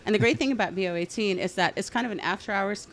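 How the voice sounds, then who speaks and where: A woman's voice: mid-range voice, quick pace, vocal fry, a little hiss or hum. A woman in her fifties who grew up in Greece, a comedian guest telling candid stories in a casual studio interview. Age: 50s. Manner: candid, animated storyteller. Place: podcast studio.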